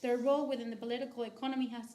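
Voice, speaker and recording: A woman from Argentina giving academic commentary as a discussant, halting often with restarts and fillers. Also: on the high side, flat delivery, dark tone, echoey room. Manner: hesitant, many restarts and fillers. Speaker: discussant at a book talk.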